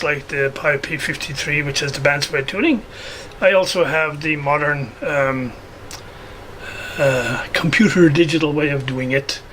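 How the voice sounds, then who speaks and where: A man with a bright, slightly high voice talks casually through a hands-on project as a hobby video host. He is unscripted and a little hesitant, thinking aloud as he goes. Noise is audible behind him.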